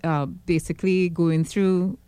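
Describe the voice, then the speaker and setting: Woman talk radio host, mellow lowish voice, keeps saying mm-hmm, dead dry mic. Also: flat delivery, measured pace, clean tone, dry recording. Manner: attentive, frequent affirming murmurs. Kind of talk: radio interview.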